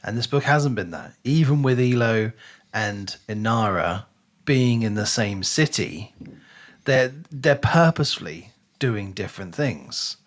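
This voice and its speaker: A man's voice: fairly low pitch, lively intonation, unhurried. A man co-hosting a podcast, casually discussing a book and rephrasing as he thinks aloud. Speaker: podcast co-host.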